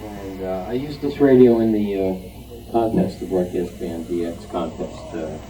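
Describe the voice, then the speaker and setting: A man giving an informal show-and-tell talk at a club meeting, halting, with frequent ums. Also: deep voice, mellow. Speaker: club member presenting a restored radio. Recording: distant microphone at club meeting.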